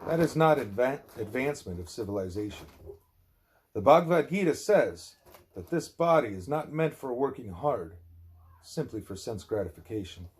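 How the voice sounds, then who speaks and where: A man reading a spiritual discourse aloud as an audiobook narrator, with deliberate, measured phrasing. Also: medium pitch, very animated, a little mellow. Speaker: audiobook reader.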